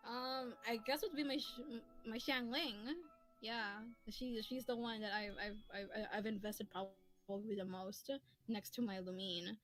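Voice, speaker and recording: Chatty woman streamer, high voice, giggles a lot, soft lofi music behind. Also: fairly even intonation, measured pace, rough recording. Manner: chatty, giggly, lots of fillers. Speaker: streamer and host. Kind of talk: casual stream chat. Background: faint lofi music.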